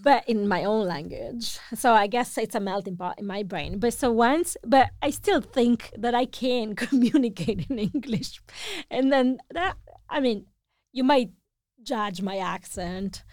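A woman in her thirties with an Italian accent, whose first language is Italian, telling a story in a casual conversation, animated and laughing often. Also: fairly high pitch, measured pace, no echo, clean studio sound. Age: 30s.

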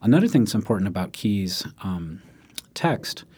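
A man explaining music history in relaxed conversation, often checking in with "right?" and acting out quoted speech. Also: lowish voice, moderate pace, slightly rough. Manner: animated, acts out quotes in raised voice, frequent "right?".

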